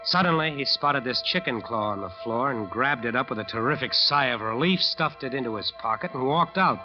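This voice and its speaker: A man's voice: mid-range voice, animated, a little fast, natural voice. An actor playing a driven, ambitious musician who narrates his nightmare dramatically in an old radio drama. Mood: tense, reflective. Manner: dramatic, intense storytelling. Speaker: narrator and lead character. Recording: dull old radio recording, music behind.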